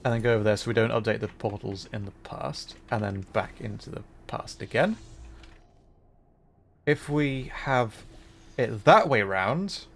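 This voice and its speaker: A man's voice: on the low side, a little slow, a little hiss or hum. A man streaming a game with casual commentary, talking to himself as he works things out. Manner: muttering to himself while working through puzzles. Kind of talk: casual livestream gameplay commentary, thinking aloud.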